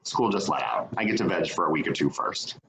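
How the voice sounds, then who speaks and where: Man acting as laid-back schoolteacher, deep voice, lively intonation, dry, defensive, stage drama. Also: brisk, vocal fry, heavily acted, low-quality recording. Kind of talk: staged play reading.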